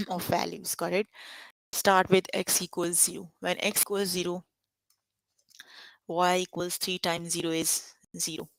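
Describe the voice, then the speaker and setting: A woman's voice: lowish voice, fairly bright tone, no echo, low-quality recording. A young adult woman teaching a live online math lesson over a computer mic, stopping often to check that students follow. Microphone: computer mic on an online call.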